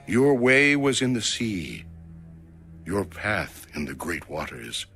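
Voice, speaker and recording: A man's voice: sits low, sing-song, slow, creaky, fairly bright tone, faint music behind. A man giving a solemn, reverent, declamatory reading of scripture as an audio Bible narrator.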